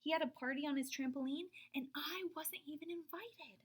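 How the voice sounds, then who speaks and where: A woman reads a children's picture book aloud in a fairly high voice. Her telling is expressive, slipping into dramatic whispers and leaping up in pitch for emphasis. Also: normal speed. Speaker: storyteller reading aloud.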